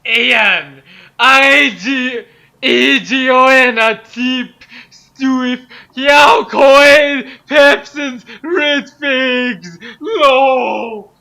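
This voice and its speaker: A woman giving a halting, overdramatic comic reading of garbled fan fiction as a guest reader. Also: high-pitched, sharp tone. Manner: overdramatic, stumbling over misspelled words.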